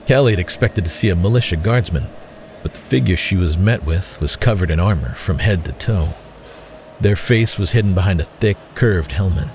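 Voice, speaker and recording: A man's voice: deep voice, expressive, moderate pace, background noise. A man reading scripted dramatic narration as the narrator of an audio story.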